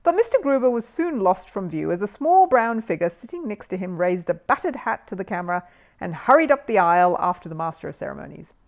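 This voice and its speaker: A woman reading a children's story aloud as an expressive audiobook narrator. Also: medium pitch, fairly quick.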